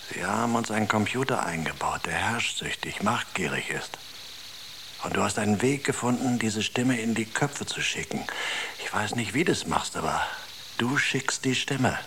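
An actor playing a bitter, suspicious engineer in a radio drama, speaking in sardonic, accusing short phrases. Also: male voice, fairly low pitch. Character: bitter, scornful, drug-worn male engineer, suspicious of comrades. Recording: roomy echo, clear background noise.

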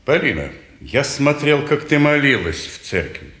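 An actor playing a calm, insinuating man of the world in a Russian stage drama, speaking in a measured way. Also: medium pitch. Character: calm, insistent, worldly man pursuing a former lover. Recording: echoey 1950s theatre recording.

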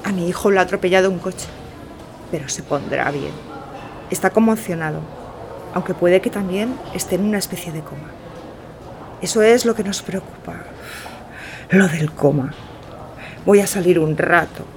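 A woman's voice: lowish voice, normal speed, pauses often, noisy background, close to an everyday voice. An actress playing a worried, weary mother of an injured child in a radio drama. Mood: distracted, anxious.

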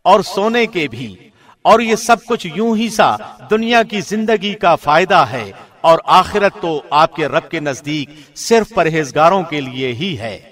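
Guy with a highish voice reading holy text, formal radio announcer style. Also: animated, fairly bright tone. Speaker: translation reader, radio host. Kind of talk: scripted reading of scripture translation.

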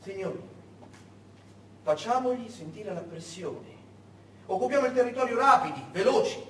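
An actor playing a brisk, smugly commanding German major who gives his officers clipped orders and prods them with check questions, as in a stage monologue. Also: high voice, lively intonation. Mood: coolly menacing. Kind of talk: theatrical monologue acting. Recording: echoey room, distant mic, faint noise.

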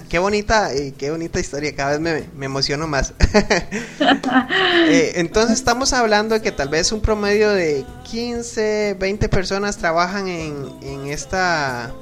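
A woman's voice: on the low side, animated, measured pace, soft background music. A woman hosting an interview, asking questions in a casual, conversational style. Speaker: interviewer, host.

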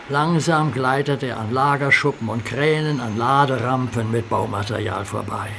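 A man's voice: mid-range voice, creaky, background noise. A man narrating a children's radio play in a vivid storytelling manner.

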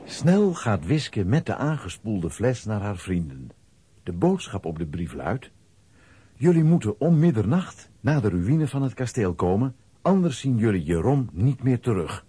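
A man with a husky voice narrating a scripted children's audio play as storyteller. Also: lowish voice, big pitch swings, measured pace, warm tone, no room sound.

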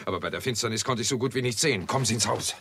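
An actor playing a brisk, forceful armed guard in a 1960s German radio drama, with a commanding manner. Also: male voice, lowish voice, gravelly, noisy background. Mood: urgent, brisk.

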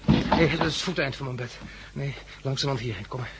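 A man's voice: medium pitch, fast talker, natural voice. An actor playing a sardonic, irritable male hospital patient in a 1960s Dutch radio drama. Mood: tense, wry. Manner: grumbling, sardonic, talking to himself. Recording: hissy 1960s radio recording.

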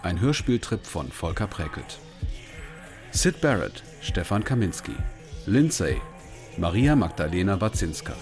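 A man's voice: deep voice, big pitch swings, unhurried, darkish tone, heavily acted. A man announcing a title and cast list in scripted style. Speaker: credits announcer. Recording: music behind the voice.

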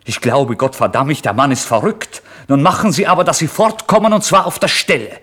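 A man voicing an angry, domineering landlady, loud and scolding, in a dramatic literary reading. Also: fairly high pitch, a little fast, breathy edge, pitched above the performer's own voice. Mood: furious, commanding. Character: angry, domineering pregnant landlady throwing out a lodger.

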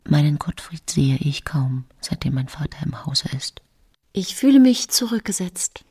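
An actress playing a brooding, calculating woman in her thirties, narrating a radio drama as an intimate, deliberate, theatrical inner monologue. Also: sits low, sing-song, darkish tone, slightly rough, no room sound, studio quality, full character voice. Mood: bitter, jealous. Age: 30s.

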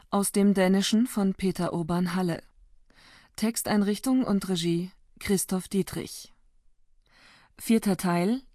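A woman's voice: mid-range voice, mellow, dry recording, studio quality. A woman reading scripted title announcements for a radio drama. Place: dry broadcast studio.

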